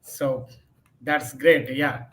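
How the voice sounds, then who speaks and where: A man's voice: mid-range voice, flat delivery, very slow. A man hosting an interview, agreeing readily and asking his questions in slightly hesitant phrasing. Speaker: interview host.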